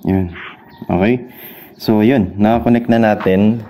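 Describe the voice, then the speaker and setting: A man with a Filipino accent giving a casual hands-on tutorial as a vlogger, halting as he searches for words and mixes in English terms. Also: lowish voice, fairly even intonation, dark tone, a little hiss or hum.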